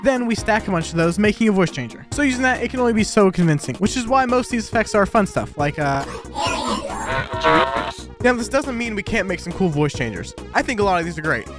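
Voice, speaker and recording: A young man giving an informal, chatty, matter-of-fact video walkthrough as a software developer. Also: high-pitched, big pitch swings, fairly quick. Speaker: software developer presenting own app update. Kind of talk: casual scripted video walkthrough. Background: background music.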